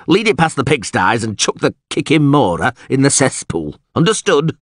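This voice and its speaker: Man playing a blustering, excitable alderman, wildly swooping voice. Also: mid-range voice, normal speed, no echo, studio quality, voice pushed up. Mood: blustering, chatty. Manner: exclamatory, blustering, hesitating with uhs. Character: podgy, blustering, hot-tempered small-town alderman. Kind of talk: audiobook character acting.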